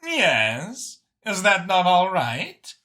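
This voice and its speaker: A man voicing a self-assured, cerebral and gracious female alien leader in an audiobook, articulate and measured. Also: sits high, huge pitch swings, bright, forceful tone, voice pushed up, exaggerated voice. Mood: playful, friendly. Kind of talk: audiobook character acting.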